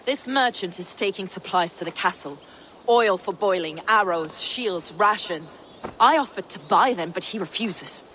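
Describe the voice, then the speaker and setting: A woman's voice: mid-range voice, normal speed, fairly bright tone, slight background noise, natural voice, poor audio. An actress playing a fierce, silver-tongued and boldly confident warrior woman in a video game. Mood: assertive, persuasive.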